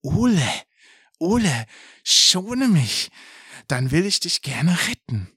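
A man puts on a pleading storybook snake. The voice is lifted in pitch and draws out its words slowly, in a very dry recording. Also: big pitch swings, fairly bright tone, husky, dry recording, exaggerated voice. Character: tired snake pleading to be spared, offering rescue.

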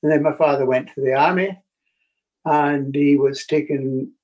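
An older man from Scotland, a guest telling family stories over a video call, speaking in a halting way with many restarts. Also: a little high, fairly even intonation, a little mellow, lo-fi sound.

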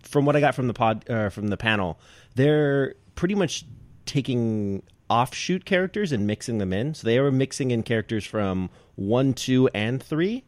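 A man's voice: mid-range voice, animated, normal speed, no echo. A man co-hosting a podcast in casual conversation, enthusiastic and opinionated about what he likes. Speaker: podcast co-host. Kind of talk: casual group chat about pop culture.

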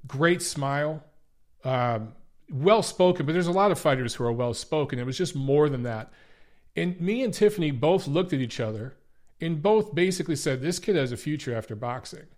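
A man's voice: a little high, animated, moderate pace, a little mellow. A man hosting a boxing podcast, speaking casually to his viewers, his voice a little stuffy and congested, repeating phrases and often saying "guys" and "uh". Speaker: podcast host.

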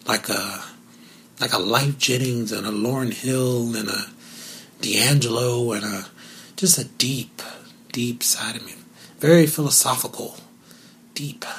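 A man with a naturally raspy voice, hosting his own talk show alone, talking candidly and cracking asides. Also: mid-range voice. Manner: candid and joking, with asides and filler words. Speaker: podcast host. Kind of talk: solo casual talk show.

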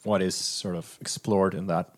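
Low-voiced man discussing film. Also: level tone, moderate pace. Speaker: film podcast co-host.

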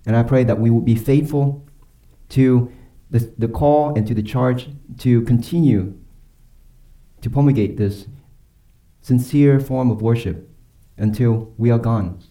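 A man preaching a sermon and leading a closing prayer, earnest and emphatic, slowing down and dropping softly on key phrases. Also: medium pitch, poor audio. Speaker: pastor.

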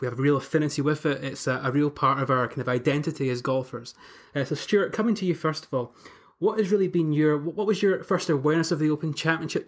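Wordy male podcast host, slightly high. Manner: wordy, leading questions with gentle teasing. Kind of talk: hosting a podcast discussion.

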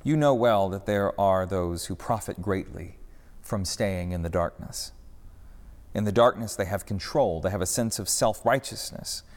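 A patient, gentle teacher speaks slowly and evenly, unhurried even when the news is grave, as a man reads him. A long hall reverb trails his words. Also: sits low. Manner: calm, patient, measured. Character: calm, patient male teacher, gently wise.

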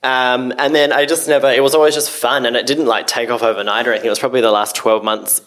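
A man's voice: mid-range voice, flat delivery, fast talker, a bit bright. A man, a guest on a podcast, talking casually and easily with lots of "like". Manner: easygoing, says "like" a lot.

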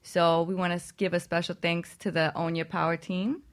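A woman's voice: lowish voice, flat delivery, brisk, heavy background noise. A woman co-hosting a casual radio show, a yoga teacher chatting and giving out plugs.

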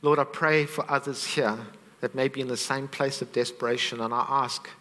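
A man's voice: medium pitch, fairly even intonation, normal speed, bright, forceful tone, big-room sound. A man preaching a sermon, earnest and conversational, with asides to the congregation.

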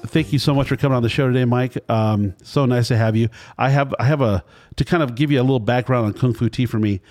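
A man's voice: mid-range voice, fast, a little mellow, faint music behind. Rambling storyteller man in his fifties. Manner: rambling storyteller, wandering anecdotes. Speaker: podcast host. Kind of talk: casual interview chat.

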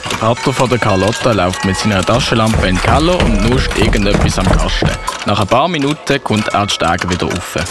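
A man's voice: fairly low pitch, expressive, brisk, loud music behind the voice, close to an everyday voice. A man with a Swiss German accent narrating a Christmas radio play as storyteller.

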